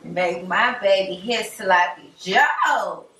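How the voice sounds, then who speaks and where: Slow-talking young American woman, roomy kitchen. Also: medium pitch, pushed and bright. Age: young adult. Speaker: cooking vlog host. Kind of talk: casual home cooking chat. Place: home kitchen, indoors. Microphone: far camera microphone. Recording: roomy, distant, noisy kitchen recording.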